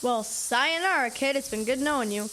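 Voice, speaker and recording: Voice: high-pitched, normal speed, sharp tone, slight background noise. A young performer playing a brash, sarcastic kid who talks bluntly and in slang in an audio drama. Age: child. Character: brash, sarcastic wisecracking kid, eager storyteller. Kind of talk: youth audio drama acting.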